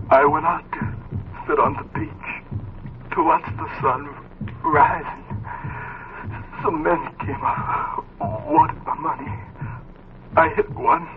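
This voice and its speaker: An actor playing a gravely wounded, desperate male patient, weak, gasping and half-whispering in a 1940s radio drama. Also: fairly high pitch. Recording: very noisy, muffled 1940s radio recording.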